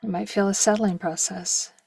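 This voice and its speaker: A woman leading a guided meditation slowly and gently in short, soft phrases, with a breathy voice that at times nears a whisper. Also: medium pitch, steady tone, dry recording. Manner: slow, gentle, with long pauses and short phrases.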